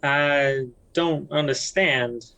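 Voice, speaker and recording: A man playing a gentle, naive young newcomer rancher in a western audio drama, with a quiet, hesitant drawl. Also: a little high, very slow, clear, resonant tone, no echo, heavily acted. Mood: bewildered, troubled.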